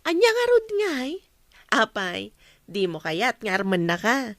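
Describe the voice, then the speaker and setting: An actress playing a teasing, excitable, nosy best friend in a radio drama. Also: female voice, medium pitch, unhurried, no echo. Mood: playful, nosy. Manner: teasing, exclamatory.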